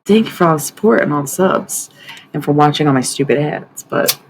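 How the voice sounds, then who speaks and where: Raspy woman rambling on her stream, swears a lot, gravelly, faint hiss behind. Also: low voice, expressive, a little fast, rough recording. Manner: rambling and loose, swears freely, chatting to viewers. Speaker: livestream host. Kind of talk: casual live-stream chat. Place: at home at night, others asleep nearby.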